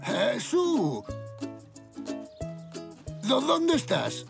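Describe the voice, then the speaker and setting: A man voicing a kindly old grandfather zebra in a children's cartoon, gentle, playful and encouraging, with a Castilian Spanish accent. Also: extremely high pitch, wildly swooping pitch, drawn-out words, gravelly, over music, full character voice. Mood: kindly amused. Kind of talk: preschool cartoon dubbing.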